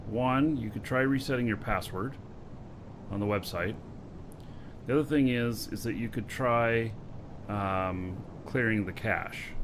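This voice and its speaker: A man hosting a game livestream, reading viewer questions and giving practical answers in a relaxed way. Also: fairly low pitch, background noise. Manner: relaxed, practical, offering suggestions. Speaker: stream host.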